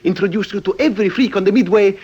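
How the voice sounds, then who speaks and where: An actor playing an earnest, melancholy Sicilian circus clown in an old radio drama, with expansive theatrical delivery. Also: male voice, high-pitched, fast, darkish tone, breathy edge, lo-fi sound. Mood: hearty, inviting. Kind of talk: 1950s radio drama acting.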